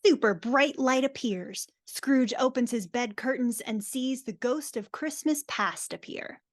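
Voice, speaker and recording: Fairly high-voiced woman narrator. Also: a little slow. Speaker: narrator reading stage directions. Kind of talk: scripted narration in a live dramatic reading.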